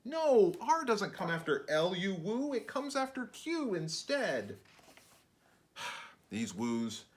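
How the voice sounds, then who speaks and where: Man acting exasperated storybook narrator, indignant, blustering, raised pitch, big swings. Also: huge pitch swings, slow pace, full character voice. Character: exasperated male storybook author scolding his unruly creatures.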